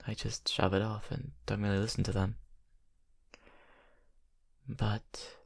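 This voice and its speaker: A man's voice: on the low side, flat delivery, takes it slowly, gravelly, heavily acted. A man playing a brooding young vampire confiding in his best friend in an ASMR roleplay, hesitant and intimate. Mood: brooding, guarded.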